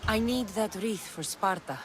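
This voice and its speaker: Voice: mid-range voice, normal speed, background noise, close to an everyday voice. An actor playing a determined, no-nonsense Spartan warrior in a video game, speaking bluntly and dryly. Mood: resolute. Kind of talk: video game cutscene acting.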